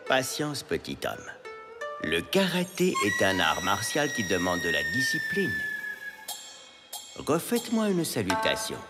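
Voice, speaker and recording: A man's voice: lowish voice, huge pitch swings, measured pace, heavily acted. A man voicing a solemn, sententious karate instructor in a French comedy cartoon, giving instructions theatrically. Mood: solemn, stern. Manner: instructive, sententious, barking drill shouts. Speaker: karate teacher. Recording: loud music behind the voice.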